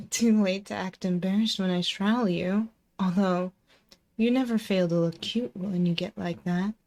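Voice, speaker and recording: Slow, teasing demon girlfriend, acted by a woman. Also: medium pitch, steady tone, darkish tone, lightly acted. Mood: teasing, fussy. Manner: slow, coaxing, condescending pet-name teasing. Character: possessive, teasing demon inhabiting a controlling ex-girlfriend. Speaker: ASMR roleplay performer. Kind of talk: intimate ASMR roleplay. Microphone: close binaural microphone.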